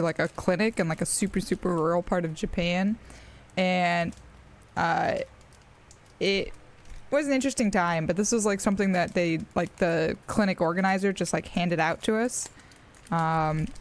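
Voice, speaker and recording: A woman's voice: on the low side, moderate pace. Chatty young woman with an American accent. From Australia. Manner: chatty and rambling, with frequent fillers and laughs. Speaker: streamer. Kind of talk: casual live-stream chat.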